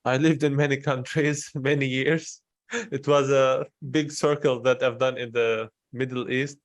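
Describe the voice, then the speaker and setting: A young adult man talks openly and personally. His voice sits at an average pitch, and the sound is dry with very little room in it. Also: fairly even intonation, unhurried, no echo. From Syria. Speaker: interviewer in a conversation. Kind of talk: candid personal conversation.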